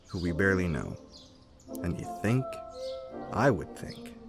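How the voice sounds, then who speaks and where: A man voicing a distrustful, cold male field mouse who speaks in a flat, emotionless, suspicious way, over background music in a fan comic dub. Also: low voice, a little slow, creaky, heavily acted.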